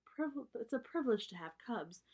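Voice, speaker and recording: A woman voicing a mild, reasonable father bear from a children's storybook in a measured, explanatory way. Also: on the high side, animated, darkish tone, slightly rough, pitched above the performer's own voice. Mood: reasoning.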